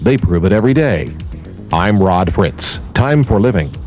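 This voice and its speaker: Male feature reporter, low and animated, scripted narration over faint music. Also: moderate pace.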